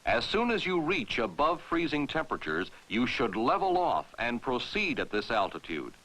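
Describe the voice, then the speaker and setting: An American man narrating a 1960s military training film in a formal, authoritative, clearly enunciated way, on a vintage film soundtrack. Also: mid-range voice, sing-song, moderate pace, a bit bright, low-quality recording.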